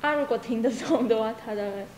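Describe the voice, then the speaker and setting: A woman's voice: fairly high pitch, darkish tone. A woman working as interpreter, replying hesitantly in casual chat. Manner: slow, hesitant, short replies. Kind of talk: casual chat, switching between English and Chinese. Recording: echoey room with faint noise.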